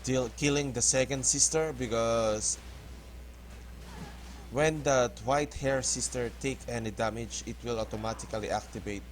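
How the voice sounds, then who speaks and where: A man from the Philippines with a Filipino accent, English not his first language, giving halting, hesitant commentary over a game tutorial. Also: mid-range voice, slight background noise. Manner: hesitant, halting, restarts words often. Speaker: gaming tutorial host. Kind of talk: casual gameplay commentary.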